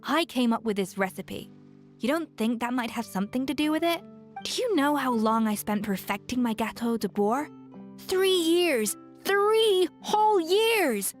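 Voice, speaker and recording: An actress voicing a confident, seasoned female chef who mentors a younger cook, speaking in a brisk, scolding, self-assured way in a game cutscene. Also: high-pitched, animated, soft background music. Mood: stern.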